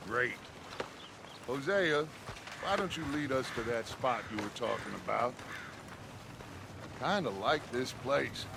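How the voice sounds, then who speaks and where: An actor playing a confident, charismatic older outlaw gang leader in a video game, with a grandiose, commanding manner. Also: male voice, mid-range voice, animated, measured pace, pauses often. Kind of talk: dramatic video game acting. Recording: game audio with clear background noise.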